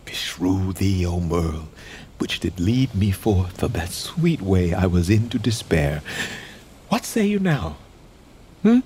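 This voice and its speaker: A proud king brought low by despair. He speaks slowly in a husky, raised voice that rises and falls wildly, from hushed grief to bitter cries. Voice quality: husky, slow, swinging between hushed and cried out. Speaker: actor playing King Richard. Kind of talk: stage audio drama acting.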